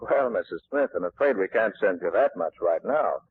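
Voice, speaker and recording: A polite, patient company clerk in a 1940s radio advertising skit. He reasons apologetically in a husky, mid-pitched voice on a muffled, narrow old recording. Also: expressive, moderate pace, no echo. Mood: patient, apologetic.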